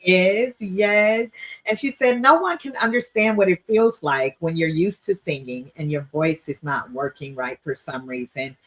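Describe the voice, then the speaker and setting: A woman's voice: lowish voice, unhurried. A woman hosting a casual livestream interview in an affirming, enthusiastic manner. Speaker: talk show host.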